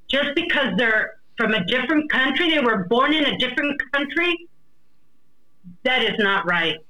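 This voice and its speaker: A woman's voice: on the high side, sharp tone, poor audio. A woman from the United States giving impassioned personal testimony as a guest, emphatic and repeating phrases for weight. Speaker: interview guest, wife of a deported veteran.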